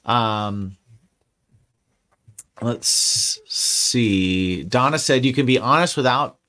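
A man's voice: medium pitch, very slow, pushed and bright. A man co-hosting a podcast in casual chat, rambling and restarting his sentences and swearing freely. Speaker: podcast co-host.

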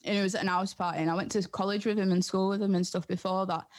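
A woman's voice: medium pitch, steady tone, racing. Young Geordie woman chatting informally. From North East England. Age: young adult. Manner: informal, anecdotal, slangy. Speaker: DJ and music producer being interviewed. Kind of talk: casual chat.

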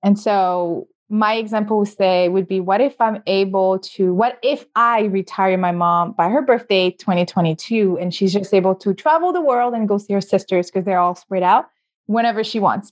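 A woman's voice: medium pitch, normal speed. Chatty woman hosting a podcast. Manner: chatty, rambling questions, laughs often. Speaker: podcast host. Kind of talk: casual interview hosting. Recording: slightly dull sound.

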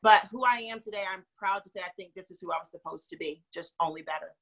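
Bright-voiced earnest woman storyteller. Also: lowish voice, fast. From North Carolina, raised in North Florida. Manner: earnest and expressive, laughs easily. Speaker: guest, magazine founder. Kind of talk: interview storytelling.

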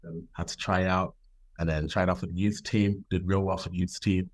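A young man from Ireland answering interview questions in a relaxed, laid-back way, with many ums. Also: low-pitched, moderate pace. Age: young adult. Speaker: guest football player.

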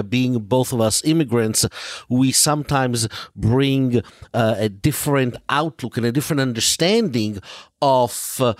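A European-born man, a rabbi co-hosting a radio talk show, speaking slowly with many fillers. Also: mid-range voice, no room sound, clean studio sound. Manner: slow, halting, many fillers. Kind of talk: radio interview.

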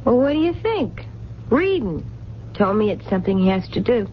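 A woman's voice: mid-range voice, very animated, normal speed, lightly acted. An actress in a radio drama playing a lonely, romantic, stubborn working woman with plain colloquial speech. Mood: defensive. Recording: dull, noisy 1970s radio recording.

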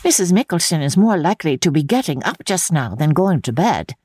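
A woman's voice: low voice, big pitch swings, fairly quick, a little mellow, breathy edge, dry recording, studio quality, exaggerated voice. A woman voicing a young housemaid in an audiobook, deferential, artless and gossipy. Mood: amused, gossipy. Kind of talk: dramatic audiobook character reading.

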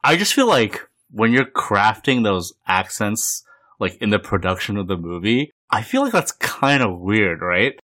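Man, low pitch, bright tone, relaxed podcast co-host, asks questions. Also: measured pace. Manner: easygoing, leads the questions. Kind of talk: casual film-podcast chat.

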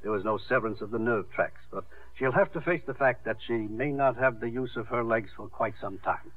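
An actor playing a calm, grave, formal surgeon in a 1950s radio drama, speaking deliberately. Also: male voice, medium pitch, natural voice. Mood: grave, reassuring. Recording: tinny, muffled 1950s radio recording.

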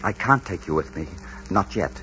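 Low-voiced, ardent gentleman highwayman. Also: lively intonation, normal speed. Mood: earnest, wistful. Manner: suave, courtly, murmuring sweet declarations. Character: dashing, ardent gentleman highwayman, romantic and reckless. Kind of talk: 1940s radio romance acting. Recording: dull 1940s radio recording with music.

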